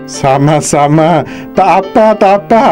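Mellow, kindly cartoon uncle, an acted voice. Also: mid-range voice, unhurried, soft background music, natural voice. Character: kindly, generous middle-aged male prata seller. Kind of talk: cartoon voice acting.

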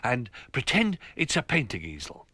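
Man voicing an eager, lively toy dog. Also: mid-range voice, moderate pace, voice pushed up. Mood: earnest.

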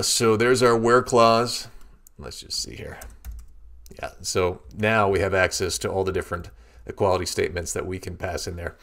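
Low-voiced man explaining code. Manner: steady step-by-step explaining. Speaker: programming tutorial instructor. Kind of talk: screen-recorded coding walkthrough.

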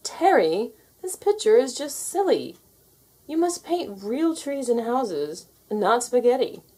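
A woman's voice: a little high, big pitch swings, slow pace, dark tone, husky, pitched above the performer's own voice, full character voice. A woman voicing a stern, dismissive art teacher in a storybook. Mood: stern, scolding.

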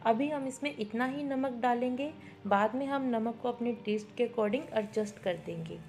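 A woman's voice: a little high, moderate pace, a little mellow, faint music behind. A woman giving a step-by-step instructional cooking voice-over, mixing in English words. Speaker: cooking show host. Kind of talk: recipe tutorial voice-over.

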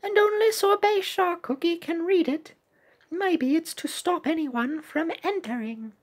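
A woman's voice: very high-pitched, unhurried, voice pushed up. A woman voicing a small, timid, helpful young cookie from a mobile game, earnest and cutesy in an amateur read-aloud. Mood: earnest, wondering.

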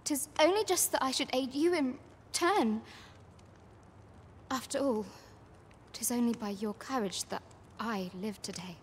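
A woman playing a caring young villager in a fantasy game, with soft, archaic formal speech. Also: on the high side, measured pace, long pauses, a little hiss or hum, natural voice. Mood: tender, concerned. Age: young adult. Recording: music behind the voice.

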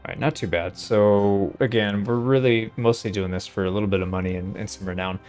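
A man with a fairly low voice talks through a video game playthrough. He is laid-back and casual, reasoning aloud as he plays. Music runs underneath his voice. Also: fairly even intonation, a little fast, a little mellow. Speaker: host of a gaming playthrough. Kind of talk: casual gameplay commentary.

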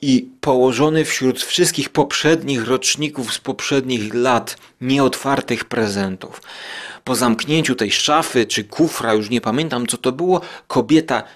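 A man's voice: medium pitch, unhurried. A man hosting a podcast, casually commenting and often searching for words. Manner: hesitant, searching for words, enthusiastic. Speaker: podcast host.

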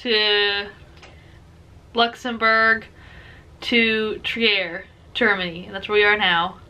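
A woman's voice: medium pitch, little pitch movement, takes it slowly, bright, forceful tone, clean tone. A woman with a Southern US accent, chatting to the camera in a dry, teasing way while filming herself in a ship cabin at arm's length. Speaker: travel vlogger. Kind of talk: casual chat to camera. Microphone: phone or camera held at arm's length.

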